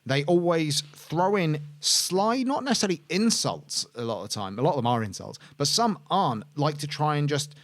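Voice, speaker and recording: A man's voice: on the high side, sing-song, moderate pace, hardly any pauses, no room sound. A man co-hosting a podcast in casual chat, rambling and correcting himself with lots of fillers. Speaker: podcast co-host.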